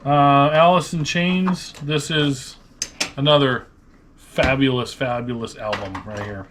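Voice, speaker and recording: A man's voice: mid-range voice, steady tone, slow pace. A man with an American Midwestern accent chats casually and jokingly, thinking aloud as he works. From Nebraska, United States. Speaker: vlog host, online reseller. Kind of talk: casual chat while sorting and pricing items. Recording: noisy room with clicks.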